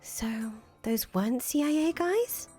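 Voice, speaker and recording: A woman playing a sceptical, worried confidante in a visual novel, questioning and expressive, with a slightly husky voice. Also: high-pitched, takes it slowly, exaggerated voice. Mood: doubtful, soothing. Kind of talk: acted reading of visual novel dialogue. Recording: music under the voice.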